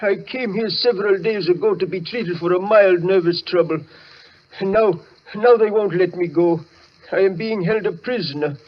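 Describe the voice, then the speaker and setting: High-pitched, frantic South American pianist. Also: male voice, moderate pace. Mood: pleading. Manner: dramatic, pleading. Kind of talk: old-time radio thriller acting. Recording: muffled vintage radio recording.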